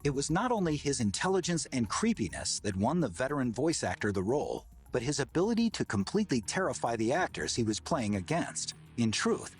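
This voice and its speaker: Expressive man narrating a documentary. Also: medium pitch, brisk, breathy edge, soft background music.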